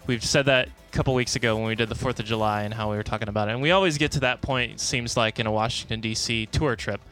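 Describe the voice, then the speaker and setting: A man's voice: medium pitch, steady tone, quick pace, a bit bright, faint music behind. An American man co-hosting a podcast in a casual, rambling, informal way. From United States. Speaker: podcast co-host. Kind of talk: casual conversational podcast talk.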